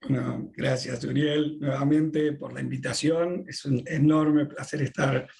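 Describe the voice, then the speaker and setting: A middle-aged Argentine man, a rabbi speaking as a podcast guest, who talks in a measured way with frequent drawn-out "eh" pauses. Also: mid-range voice, steady tone, vocal fry, a little mellow. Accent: Argentine Spanish. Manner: measured, many drawn-out "eh" fillers. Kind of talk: thoughtful conversational interview.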